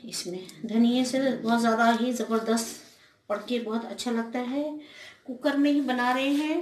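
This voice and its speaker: A woman hosting a home cooking video, talking through each step in a casual, chatty way with small hesitations as she cooks at her stove. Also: high voice. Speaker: home cooking channel host. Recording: roomy kitchen with loud cooking noise. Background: frying, sizzling and stirring in a pressure cooker.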